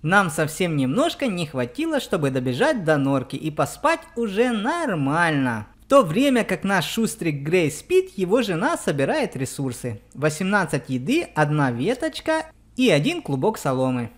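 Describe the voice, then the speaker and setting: A woman co-hosting a children's gaming channel, giving expressive, storytelling let's play commentary. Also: on the low side, normal speed. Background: background music.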